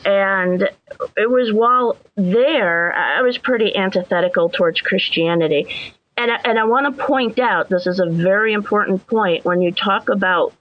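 A woman's voice: mid-range voice, moderate pace, no room sound, dull audio. A woman bishop telling her story as a guest, earnest and self-deprecating. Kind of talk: casual interview storytelling.

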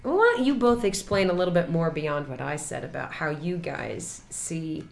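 A woman's voice: low-pitched, lively intonation, normal speed, creaky. A woman radio host with a bubbly, rambling manner, laughing at herself as she introduces her guests. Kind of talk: casual radio chat. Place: radio studio.

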